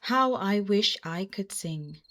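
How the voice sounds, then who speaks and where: A woman's voice: medium pitch, expressive, takes it slowly, a bit bright. A woman voicing a small, wistful, earnest storybook armadillo with an eager, emphatic delivery. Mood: wistful longing.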